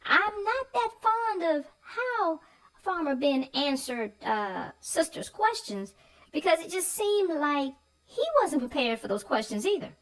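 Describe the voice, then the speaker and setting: A woman's voice: high-pitched, big pitch swings, unhurried, a bit bright, rough recording. A woman co-hosting a casual, informal discussion of a children's story, speaking her opinions freely. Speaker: read-aloud show co-host.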